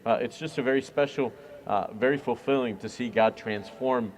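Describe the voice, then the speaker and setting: A man's voice: mid-range voice, normal speed, a little hiss or hum. A man who is a church minister, talking earnestly and reflectively in an interview, with frequent fillers. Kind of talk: conversational interview.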